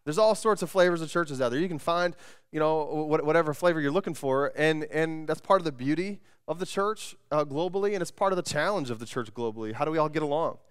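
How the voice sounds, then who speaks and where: A man in his thirties preaches from a church stage through a clip-on mic, his voice smooth and resonant and sitting a little high. He talks in a loose, chatty way, stumbling over repeated words and restarting his sentences. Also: fast. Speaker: pastor. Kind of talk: sermon. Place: church stage with band gear, indoors.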